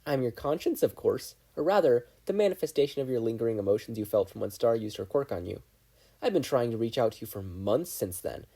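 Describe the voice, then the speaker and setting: A narrator voicing a smug, needling conscience with lively pitch. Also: husky. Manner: sarcastic, brisk, lecturing. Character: smug, bossy inner-conscience voice, needling and superior.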